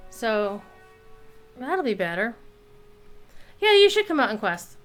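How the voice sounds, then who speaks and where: A woman giving casual live commentary while streaming a game, playful and expressive, with some salty language. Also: on the high side, slow pace, soft background music.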